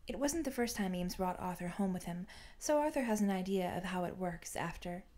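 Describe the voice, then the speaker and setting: A woman's voice: lowish voice, animated, brisk, mellow. A woman reading fan fiction aloud as scripted audiobook-style narration.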